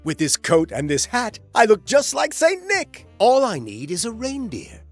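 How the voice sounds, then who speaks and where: A man's voice: high voice, huge pitch swings, measured pace, soft background music, voice pushed up, full character voice. A man voicing a sour, scheming, middle-aged grumpy creature in a storybook, sneering and growling. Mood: gleeful scheming.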